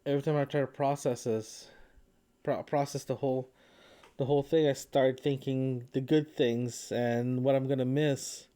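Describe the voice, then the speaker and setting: A man speaks in a dark, mellow voice at an average pitch, recording a reflective diary alone. He is sick and grieving. His delivery is halting, as he searches for words and clears his throat. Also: steady tone. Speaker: podcast host recording a personal audio log. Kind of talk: reflective solo audio diary. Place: indoors at a family home.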